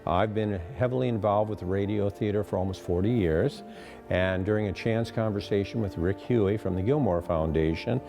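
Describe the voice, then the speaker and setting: An older man who directs radio theater, explaining things in a measured way in an interview. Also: low voice, gravelly, dark tone. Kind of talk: interview answers.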